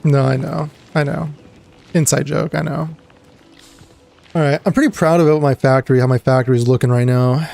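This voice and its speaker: A man streaming a game, his voice a little gravelly and dry today, rambling casually and greeting viewers between trailing fillers. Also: fairly high pitch, fairly even intonation, fairly quick, a little mellow, soft background music. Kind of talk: casual live-stream chat.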